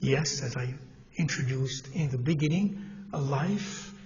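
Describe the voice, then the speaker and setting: A man gives an Islamic lecture to a congregation in a mosque hall. His delivery is measured and halting, with pauses, restarts and questions put to the room. The recording is slightly dull with a faint hiss behind his voice. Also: medium pitch, a little mellow. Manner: asks the audience questions as he teaches. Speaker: mosque teacher.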